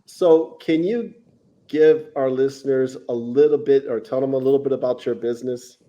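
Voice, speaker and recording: A man with a soft-edged, mellow voice hosts a conversational interview. He sounds enthusiastic and affirming as he puts questions to his guest. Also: mid-range voice, moderate pace. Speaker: podcast host.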